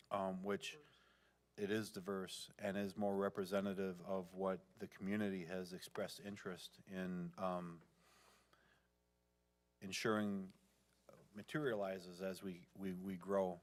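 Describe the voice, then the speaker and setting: An American man giving formal remarks as a city council member, in deliberate and halting phrases. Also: low voice.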